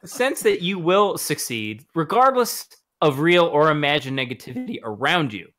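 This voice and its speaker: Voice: mid-range voice, sing-song, moderate pace, fairly bright tone. A podcast co-host reading absurd marketing copy aloud, with joking asides.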